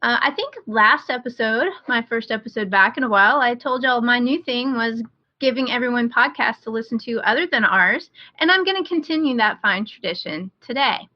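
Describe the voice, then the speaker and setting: A woman's voice: fairly high pitch, normal speed, no echo. A woman podcast host chatting casually and giving recommendations on a dull, lo-fi internet call. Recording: dull, lo-fi online call audio.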